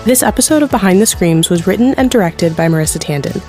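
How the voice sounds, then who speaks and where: A woman reading out the credits and a sponsor message in a plain, even way. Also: mid-range voice, fast, faint music behind. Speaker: credits announcer.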